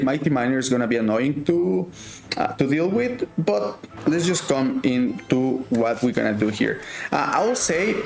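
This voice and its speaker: A man's voice: mid-range voice, gravelly, echoey room, a little hiss or hum. A man from Mexico with a Mexican Spanish accent, casually commentating his own gameplay and thinking aloud with frequent fillers. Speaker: gaming YouTuber.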